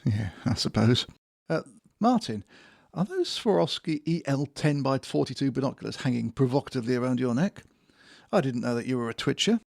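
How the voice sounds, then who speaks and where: Man acting a grumpy British office grouch, creaky voice. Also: medium pitch, animated, brisk. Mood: dry teasing. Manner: grumbling, sardonic, sweary. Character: grumpy, sarcastic man working in a small-town office. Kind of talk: comedy audio drama acting.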